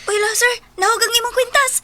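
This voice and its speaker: A woman's voice: extremely high pitch, steady tone, quick pace, sharp tone, slight background noise. An actress with a Filipino accent playing a polite, devout and earnest woman passenger in a radio drama.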